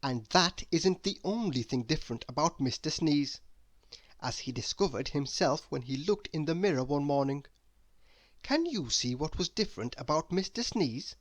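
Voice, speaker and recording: A narrator reading a children's story aloud in an expressive, scripted storytelling style. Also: mid-range voice, moderate pace, no echo. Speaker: storybook reader.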